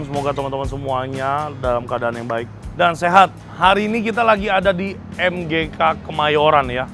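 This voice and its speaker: A man's voice: a little high, fairly bright tone. Chatty Jakarta man hosting, music behind. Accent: Jakarta Indonesian. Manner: slangy, chatty, often tags sentences with "ya". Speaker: food vlog host. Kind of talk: casual food vlog presenting and chatting.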